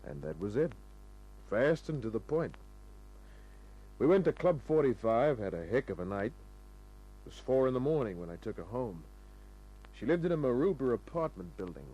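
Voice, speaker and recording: A man's voice: medium pitch, very animated, moderate pace, long pauses, mellow, muffled recording. An Australian man acting a wry, tough, flirtatious private investigator in a laconic 1950s radio crime drama style. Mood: matter-of-fact narrating. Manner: laconic, wisecracking. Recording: noisy old radio recording with hum.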